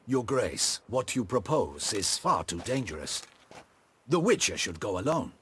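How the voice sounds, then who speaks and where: An actor playing a dutiful, cautious captain of the guard in a fantasy game, formal and deferential. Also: male voice, fairly low pitch, big pitch swings, a little slow, a bit bright, slight background noise. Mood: concerned.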